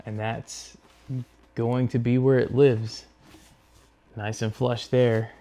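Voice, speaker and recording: Man with a mellow, low-key tutorial voice. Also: level tone, vocal fry. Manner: unhurried, talks through each step while working with his hands. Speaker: host of a motorcycle gear install video. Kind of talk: casual hands-on tutorial narration.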